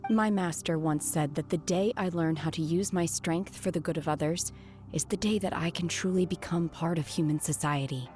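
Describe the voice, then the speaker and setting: A woman voicing a reserved, aloof young woman raised apart from people, speaking calmly and quietly. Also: fairly low pitch, moderate pace, natural voice. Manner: calm, quiet, detached. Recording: game dialogue with music behind.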